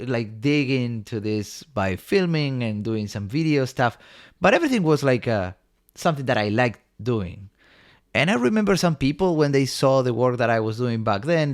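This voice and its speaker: Man telling his story. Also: mid-range voice. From Argentina. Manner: eager, rambling, many fillers and restarts. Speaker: company co-founder. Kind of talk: casual storytelling in an interview.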